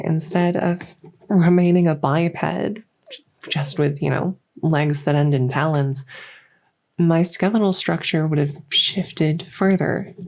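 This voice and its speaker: A woman voicing a gentle fairy dragon girl doctor in a soft-spoken ASMR roleplay, reassuring her patient with hesitant pauses. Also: low voice, vocal fry, full character voice. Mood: reflective, explaining.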